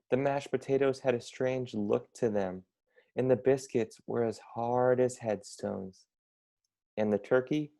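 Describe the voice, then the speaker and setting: A man's voice: mid-range voice, vocal fry, mellow. A young man narrating a children's picture book as a scripted read-aloud. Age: young adult. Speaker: storybook reader, college student.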